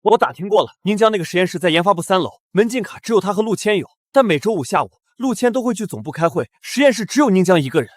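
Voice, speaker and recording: A man's voice: high-pitched, animated, fast talker, few pauses, dry recording, clean studio sound. A man playing a greedy, brash, spiteful young schemer in a short drama. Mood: scheming.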